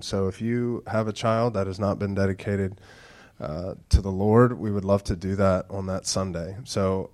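A pastor in his thirties delivers a casual sermon in a very deep voice. His nose is stuffed up and he speaks in a low, slow, near-monotone way, mixing church announcements with dad jokes. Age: 30s. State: stuffed-up nasal congestion.

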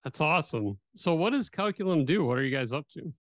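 A man's voice: on the high side, big pitch swings, a little fast, a bit bright, no room sound. A man hosting a business podcast, asking questions in an upbeat, enthusiastic way. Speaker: podcast host.

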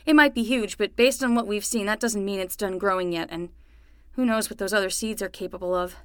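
A woman's voice: mid-range voice, a little fast, clear, resonant tone, no echo, lightly acted. A woman playing an anxious, bossy young summer nanny in a fiction podcast, quippy yet hesitant. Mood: nervous.

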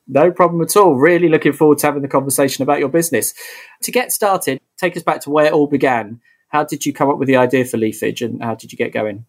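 A man's voice: a little high, fast talker. A man hosting a podcast interview, asking friendly questions and often ending on tag questions.

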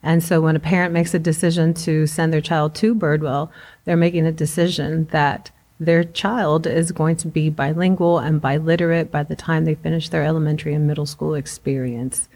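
Low-voiced middle-aged woman, native Spanish speaker. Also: steady tone, moderate pace, darkish tone. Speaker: school principal, interview guest. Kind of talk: conversational interview answers.

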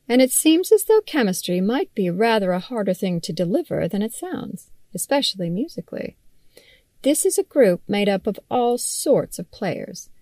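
A woman reading her own magazine article aloud as scripted narration, articulate and measured, with wry, pointed emphasis. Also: medium pitch, warm tone, no echo.

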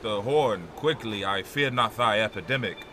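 An actor playing a stern, sardonic old whaling captain in an audio drama, with a commanding manner. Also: male voice, fairly low pitch, normal speed, bright, forceful tone, a little hiss or hum.

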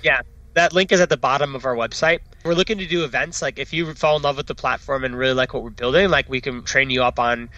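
A man's voice: medium pitch, pushed and bright, no echo, highs cut off. A man, a startup founder, talking eagerly in a casual interview, often tagging "right?" onto his sentences. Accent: non-Dutch foreign accent. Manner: enthusiastic, fast, peppered with "right?" and "like".

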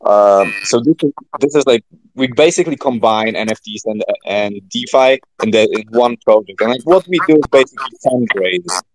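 A man talking as a podcast guest in casual, informal chat, rambling and halting with many filler words. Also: medium pitch, rough recording. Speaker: podcast guest, project founder.

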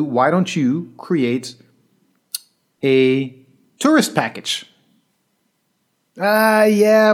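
Earnest man telling a coaching story. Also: fairly high pitch. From Belgium. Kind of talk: storytelling monologue.

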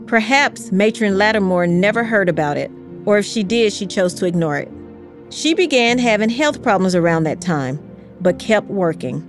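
A woman reading scripted history narration in a measured, carefully articulated storytelling way. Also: mid-range voice, animated, faint music behind. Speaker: podcast narrator.

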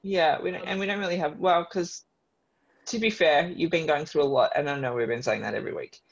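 A woman's voice: low voice, a little fast, gravelly, slightly rough, dull audio. A woman co-hosting a podcast, chatting casually with a joking, teasing manner. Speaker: podcast co-host. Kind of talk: casual chat.

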